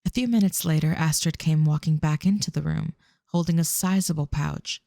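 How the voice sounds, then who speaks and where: A man's voice: on the high side, steady tone, normal speed, creaky, dark tone, dry recording, studio quality. A man reading scripted third-person fantasy narration for an audio drama.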